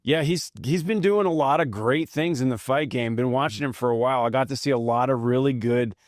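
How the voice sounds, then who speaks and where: A man hosting a podcast from a studio, chatting in an upbeat, friendly way with quick bursts and restarts. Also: mid-range voice, no echo. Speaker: podcast host. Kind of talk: casual interview chat.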